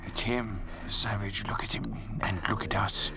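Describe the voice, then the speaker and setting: An actor playing a grave, lecturing ship's doctor stranded on a raft, who also narrates, in 1940s radio acting style. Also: male voice, lowish voice, creaky, noisy background. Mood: solemn. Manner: measured, pronouncing judgments in a lofty tone.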